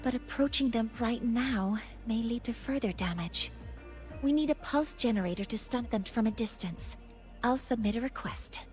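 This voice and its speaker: An actress playing a composed woman professor in a game, speaking in a measured, formal way. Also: a little high, darkish tone, soft background music, close to an everyday voice.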